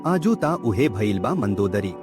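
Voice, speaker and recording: Cartoon village vendor, male actor, Bhojpuri accent: humble, devout, happy husband, lowish voice with big swings, music underneath. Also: sing-song, a little slow. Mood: grateful, assured. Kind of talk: animated moral story dialogue.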